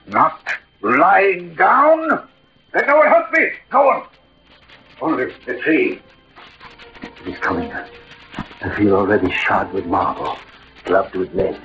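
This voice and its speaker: A proud, dying swordsman hero declaims verse defiantly and slowly, with huge swings of pitch and weighty pauses. It is theatrical 1940s radio drama on a muffled vintage recording with music behind. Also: wildly swooping pitch.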